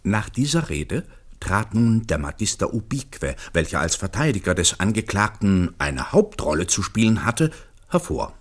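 A man's voice: lowish voice, sing-song, moderate pace. A man narrating a literary radio play as a scripted storyteller.

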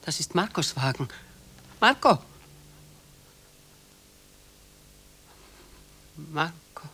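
A woman's voice: deep voice, big pitch swings, measured pace, bright, forceful tone, heavily acted. An older actress playing a proud, possessive old mother with imperious, emotional delivery in a German radio drama. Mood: desolate, yearning. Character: proud old lady, possessive mother shut in her house. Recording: hissy 1960s radio play recording.

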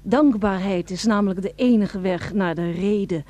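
Woman playing mellow, bossy radio presenter. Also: medium pitch, close to an everyday voice. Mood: soothing, preachy. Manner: polite but bossy, measured. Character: brisk, schoolmarmish female presenter, easily exasperated. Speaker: presenter and narrator. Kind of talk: satirical radio play acting.

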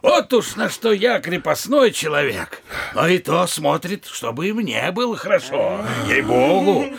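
An actor playing a sly, humble peasant manservant in a comic audio play, speaking in a folksy, obsequious and cunning way. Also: male voice, fairly high pitch, very animated, a little slow, creaky, fairly bright tone, heavily acted. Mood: crafty, folksy. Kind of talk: theatrical audio play of classic Russian comedy.

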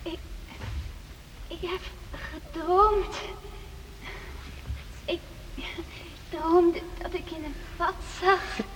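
An actress playing a young girl queen in a radio play, timid yet strong-willed, speaking in a halting, childlike way. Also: very high-pitched, fairly even intonation, fairly bright tone, exaggerated voice. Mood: drowsy, confused. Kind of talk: radio drama acting. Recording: reverberant hall sound with faint noise.

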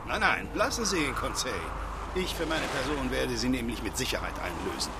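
An actor playing a determined, defiant captive in a radio play, speaking firmly and bluntly. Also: male voice, mid-range voice, expressive, fairly quick, very noisy, natural voice. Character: defiant, determined male captive plotting escape. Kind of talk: German radio play dialogue. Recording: loud outdoor sound effects behind.

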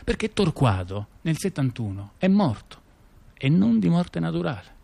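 A man's voice: on the high side, sing-song, moderate pace, gravelly, warm tone. A man telling a story conversationally on stage, repeating words, stumbling and throwing in rhetorical questions. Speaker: performer in a two-person theatre dialogue. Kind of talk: conversational storytelling to an audience member.

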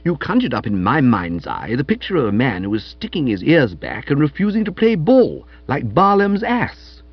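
Man as foppish, chatty young English gent for comic storybook; highly expressive and florid, slightly muffled recording. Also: normal speed, husky, full character voice. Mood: breezy, boastful. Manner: breezy, florid, upper-class slang. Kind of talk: audiobook character voice.

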